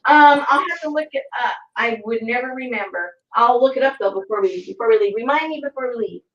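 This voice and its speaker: A woman's voice: fairly high pitch, quick pace, dull audio, slight background noise. A woman hosting an art tutorial, chatting casually in a rambling way and muttering to herself as she works. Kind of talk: casual chat while painting.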